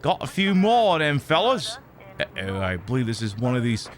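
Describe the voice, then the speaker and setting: A man's voice: medium pitch, sing-song, moderate pace, natural voice. A man streaming a video game in a put-on British accent, voicing a cheeky, foul-mouthed, exasperated bloke who rambles through live commentary with mock indignation. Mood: wry, mock-incredulous. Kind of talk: improvised live gaming commentary. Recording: music behind the voice. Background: game music.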